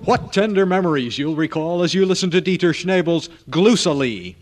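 A man playing an earnest, emphatic pitchman in a satirical radio commercial. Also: lively intonation, moderate pace. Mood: earnest hard sell. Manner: emphatic, sentimental hard sell. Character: excitable TV record-offer pitchman, comically earnest. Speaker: announcer. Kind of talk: parody commercial announcing.

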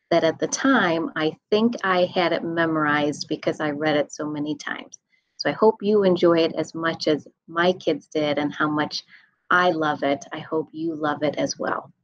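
A woman in her thirties reads a children's story aloud in an expressive, deliberate sing-song, indoors on a webcam microphone. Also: low-pitched. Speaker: school staff nutritional specialist reading a children's storybook. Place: indoor room at home. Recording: dull lo-fi webcam sound.

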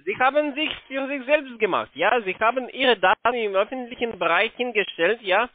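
A man with a foreign accent, not a native speaker, making a halting phone sales pitch with frequent tag questions. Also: expressive, crowd noise. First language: not German. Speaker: telephone sales caller pitching investments. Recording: narrow tinny phone line.

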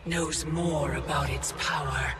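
An actress playing a cold, haughty female Titan in a video game cutscene, speaking in measured, formal taunts. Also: low voice, fairly even intonation. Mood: taunting. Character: cold, imperious female Titan, keeper of time. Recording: music behind the voice.

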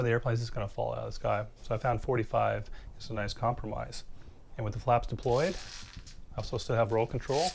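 A man giving an informal, hands-on workshop demonstration as an instructor, explaining in a halting way as he works. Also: medium pitch, vocal fry, background noise. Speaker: instructor, model glider expert. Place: small indoor hobby workshop.

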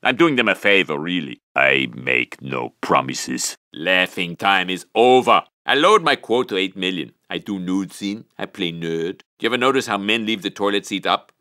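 A brawny, self-important cartoon action hero with a thick Austrian-German accent. His voice is low and hard-edged, recorded dry and close for a video game. He delivers blunt one-liners in a humorless, clipped deadpan. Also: moderate pace, few pauses, dry recording. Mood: deadpan, cocky. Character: muscle-bound, vain action movie star, adult man, blunt and humorless.

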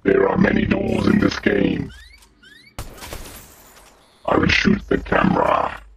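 A man voicing a slow, guttural demon who calmly bosses others about while commentating on a video game, through a deep voice filter. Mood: calm, musing. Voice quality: guttural, growling, gravelly. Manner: slow, drawn-out, deliberate short phrases. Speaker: gaming channel host. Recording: muffled lo-fi audio, faint noise.

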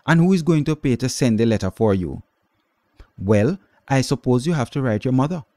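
A man voicing a mean, scolding middle-aged aunt with a sharp, grudging manner in a storybook reading. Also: medium pitch, expressive, normal speed, dry recording, voice pushed up, lightly acted.

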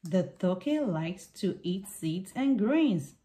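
A woman reading a picture book aloud for small children in simple, deliberate sentences. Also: medium pitch, expressive, warm tone.

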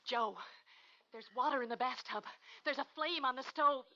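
A woman's voice: sits high, moderate pace, a crowd in the background, lightly acted. An actress playing an anxious young mother in a 1950s radio suspense drama, fretful and sweet-talking to her child. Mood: panicked, pleading. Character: anxious young housewife and mother trapped in a cellar. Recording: muffled, noisy 1950s radio recording.

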